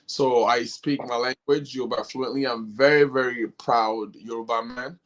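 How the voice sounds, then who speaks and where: A man's voice: medium pitch, steady tone, normal speed, fairly bright tone, rough recording. A middle-aged man from Nigeria, a company head answering interview questions in an earnest, explanatory way with many fillers and restarts. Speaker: company CEO, interview guest. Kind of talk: casual interview talk.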